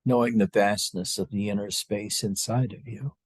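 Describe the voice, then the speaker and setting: A man leading a guided meditation as a spiritual teacher, speaking to a group in a slow, halting, unscripted way with long pauses. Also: on the low side, steady tone, husky, no room sound.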